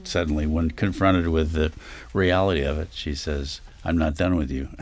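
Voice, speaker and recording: A man talking casually and haltingly as a panelist discussing a play. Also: rumbling low, steady tone, a little mellow.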